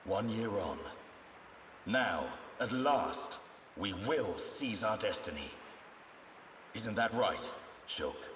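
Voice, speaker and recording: An actor playing a grave, composed warrior leader in a video game, speaking in a measured, commanding, formal way. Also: male voice, lowish voice, lively intonation, a bit bright, a little hiss or hum. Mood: grave, determined. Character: resolute, battle-seasoned male warrior leader, grave and composed. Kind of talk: dramatic video game cutscene acting. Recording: music behind the voice.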